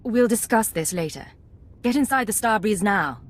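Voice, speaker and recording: A woman's voice: medium pitch, lively intonation, normal speed, natural voice. A woman acting a composed undercover intelligence agent in a video game, speaking in a clipped, formal, controlled way. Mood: cool, firm.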